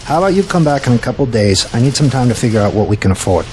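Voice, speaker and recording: A gruff but kindly boss played by a man in an audio drama. His mid-range delivery is casual and hesitant, over faint background ambience. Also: medium pitch, big pitch swings, racing, darkish tone, a little hiss or hum, lightly acted.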